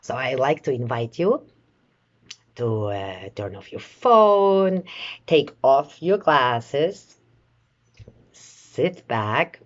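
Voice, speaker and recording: A woman leading a guided meditation in a soothing, measured way, with long pauses between short phrases. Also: a bass voice, highs cut off. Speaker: meditation guide, life coach.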